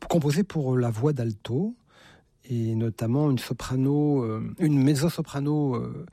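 A man with a gravelly, husky voice answers questions on a radio show, stopping often to search for words. His tone is dark and the sound is dry and close. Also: mid-range voice, dry recording. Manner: hesitant, many fillers and repeated words. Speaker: conductor, festival guest. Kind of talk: radio interview.

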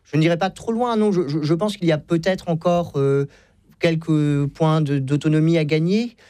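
A man's voice: on the high side, moderate pace, dark tone, clean tone, dry recording. A man speaking off the cuff as a radio debate guest, hesitant and often stammering over repeated words.

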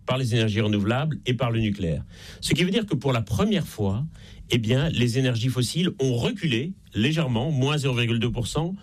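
A man with a Belgian French accent, an author being interviewed on a radio call-in show, explaining in a measured way. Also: mid-range voice. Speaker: author guest.

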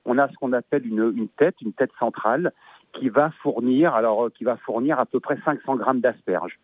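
A man's voice: medium pitch, normal speed, no echo. Hesitant Belgian man on a tinny phone line. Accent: Belgian French. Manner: hesitant, many fillers and restarts. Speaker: asparagus grower guest. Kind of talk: radio interview, casual chat.

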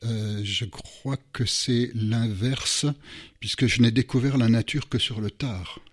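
A man in his sixties from the Moselle border region, a Luxembourgish native speaker, talking as a guest author in a radio interview, measured and often chuckling. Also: mid-range voice, fairly even intonation, slightly rough. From Moselle border region, France. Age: 60s.

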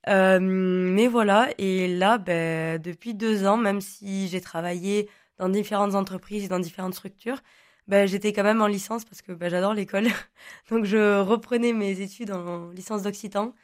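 A woman's voice: mid-range voice, fairly even intonation, moderate pace. A young woman in her twenties from the Languedoc, whose first language is Occitan, answering interview questions in a chatty, rambling way with many fillers and restarts. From Languedoc, southern France. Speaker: guest, online content creator.

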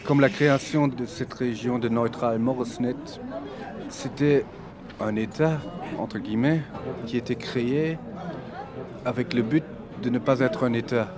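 A man's voice: mid-range voice, unhurried, pauses often. Male interviewee outdoors in a town, emphatic, with crowd noise around him. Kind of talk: interview answers.

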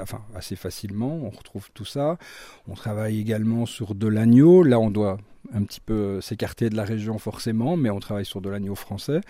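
A man's voice: fairly low pitch, dark tone, no room sound. A middle-aged man from Belgium with a Belgian French accent, a chef answering radio interview questions hesitantly, with many fillers and restarts.

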